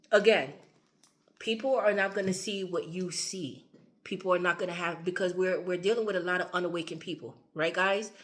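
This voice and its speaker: A woman with a slightly low voice reads tarot in a loose, wandering way, circling back over the same words with plenty of fillers. Her voice sounds a little strained and worn, as if she is losing it. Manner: loose and repetitive, fillers and asides. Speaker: tarot reader. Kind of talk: casual tarot reading.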